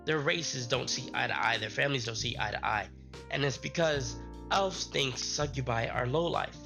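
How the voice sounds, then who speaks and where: A man's voice: medium pitch, vocal fry. Sick man rambling on a stream. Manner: rambling, halting with restarts. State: sick, voice strained. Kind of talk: casual live-stream chat.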